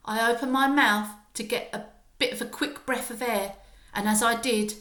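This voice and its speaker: A woman reading a children's story aloud like a primary school teacher, with expressive, emphatic dramatic narration. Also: on the high side, normal speed, roomy sound.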